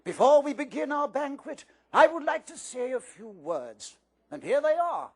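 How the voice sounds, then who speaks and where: A man's voice: very high voice, sing-song, moderate pace, darkish tone, pitched above the performer's own voice, exaggerated voice. A man voicing an elderly, beaming wizard headmaster who speaks in a grand, whimsical way. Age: older.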